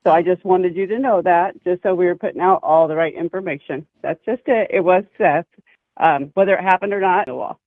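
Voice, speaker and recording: A man's voice: high voice, fairly quick, no echo. A man phoning in to a talk show, casual but insistent as if setting something straight, over a narrow phone-like line.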